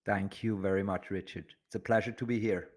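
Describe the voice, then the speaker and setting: A man's voice: sits low, steady tone, fairly quick. A man from Switzerland, chatting casually as an interview guest. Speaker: podcast guest, agency entrepreneur.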